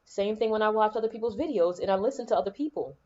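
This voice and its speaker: A woman with a soothing, calming, mellow voice gives a reflective spiritual talk on her podcast, repeating key lines for emphasis. She records on a laptop microphone in a room at home with the air conditioning running. The sound is slightly dull and lo-fi. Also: medium pitch, quick pace. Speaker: podcast host and spiritual coach. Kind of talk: spiritual motivational monologue.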